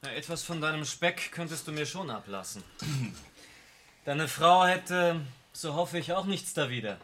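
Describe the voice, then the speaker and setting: An actor playing a thin, world-weary Austrian war veteran in a radio play, speaking in a dry, reserved, sardonic way. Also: male voice, on the high side, animated, unhurried, fairly bright tone, slight background noise. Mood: wry, weary. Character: tall, thin, world-weary, wry returned prisoner of war. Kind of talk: German radio play acting.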